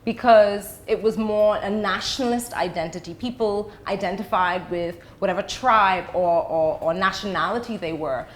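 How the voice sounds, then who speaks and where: A middle-aged woman from the Bahamas and the UK, an educator giving explanations as an interview guest, choosing her words deliberately with pauses. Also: medium pitch, slight background noise.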